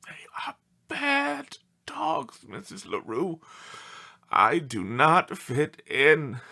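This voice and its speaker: A man's voice: fairly high pitch, vocal fry, pushed and bright, full character voice. Man voicing a theatrical, wildly swooping aggrieved storybook dog. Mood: wounded, pleading. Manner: theatrical, indignant, self-pitying. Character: aggrieved melodramatic pet dog writing pleading letters home. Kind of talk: expressive storybook read-aloud.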